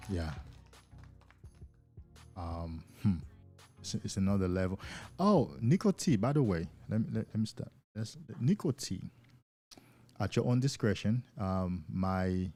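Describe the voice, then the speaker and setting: Low, warm-voiced man chatting live. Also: expressive, measured pace, pauses often, no room sound, soft background music. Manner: loose and enthusiastic, stumbling over repeated words. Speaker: self-improvement coach hosting a live stream. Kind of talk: casual live-stream chat with viewers.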